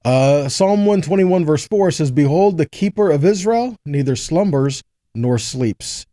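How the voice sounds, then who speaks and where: Male scripture teacher, slightly high but soft-edged voice, dry close sound, conversational and instructive. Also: animated, measured pace, no echo. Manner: earnest, instructive, checks in with rhetorical questions. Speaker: Bible teacher giving a teaching. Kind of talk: conversational scripture teaching, reading verses aloud and explaining.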